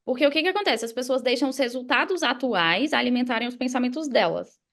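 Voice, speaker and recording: Podcast guest: Brazilian woman, notably high pitch, gravelly fry, casual motivational coach delivery, emphatic. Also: expressive, fast. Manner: emphatic, repeats key phrases, uses examples and acted quotes. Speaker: podcast guest, affiliate marketer and mindset coach.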